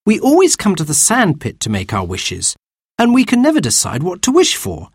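A man's voice: wildly swooping pitch, moderate pace, husky, dry recording, studio quality, full character voice. A man voicing an impatient, worried young boy in a children's storybook reading. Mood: eager. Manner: earnest storybook boy voice, raised higher.

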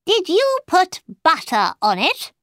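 A woman's voice: very high voice, wildly swooping pitch, takes it slowly, a bit bright, no room sound, clean studio sound, pitched above the performer's own voice, full character voice. A woman voicing an eccentric, irritable man in a children's storybook, blunt and emphatic. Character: Mad Hatter, eccentric irritable man at tea party.

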